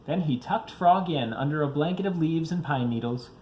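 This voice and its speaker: A man's voice: a little high, normal speed, a little mellow, a little hiss or hum. A father reading a children's picture book aloud, expressively, varying his pace for young listeners.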